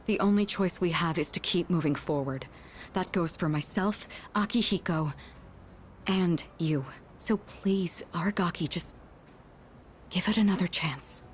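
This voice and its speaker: An actress playing an earnest high school student council president in a video game, speaking formally and with measured care. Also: female voice, slight background noise, close to an everyday voice. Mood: earnest, apologetic.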